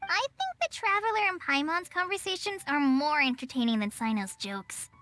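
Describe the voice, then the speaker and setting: A woman's voice: sits high, expressive, normal speed, bright, forceful tone, faint music behind. A woman voicing a shy young apprentice who speaks hesitantly in a game. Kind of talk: game voice acting.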